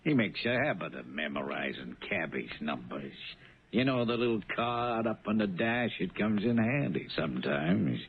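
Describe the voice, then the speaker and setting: An actor playing a menacing henchman in a 1970s radio drama, falsely casual, with insinuating pauses. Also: on the low side, very animated. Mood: veiled menace. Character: menacing, smooth-mannered male henchman sent by boss. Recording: noisy, phone-like 1970s radio recording.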